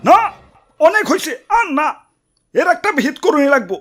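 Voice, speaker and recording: A vain lizard boss from a comic cartoon, speaking with a Bangladeshi accent. The voice is very high and swings wildly, full of theatrical exclamations. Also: female voice, big pitch swings, normal speed. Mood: alarmed. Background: background music.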